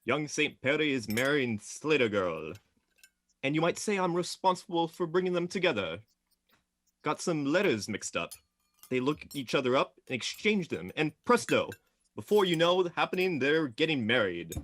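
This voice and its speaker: Man playing chatty village postman, very lively delivery. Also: fairly high pitch, normal speed, no room sound. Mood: cheerful, boastful. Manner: folksy, garrulous, cheerful. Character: chatty, cheeky, self-important village postman Gilly. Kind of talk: radio play acting.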